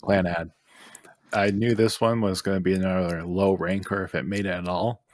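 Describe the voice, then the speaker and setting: Deep-voiced man on a casual podcast. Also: steady tone, normal speed, darkish tone, no room sound. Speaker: podcast panelist. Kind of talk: casual chat.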